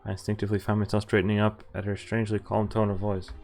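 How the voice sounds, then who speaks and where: A man's voice: fairly low pitch, completely flat delivery, fast, a little mellow. A man streaming a visual novel, reading the text aloud and adding casual commentary. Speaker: game streamer. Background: faint game music.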